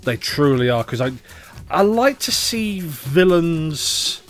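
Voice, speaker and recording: A man co-hosting a podcast in casual chat, animated and opinionated, quick to joke. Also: a little high, faint music behind. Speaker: podcast co-host.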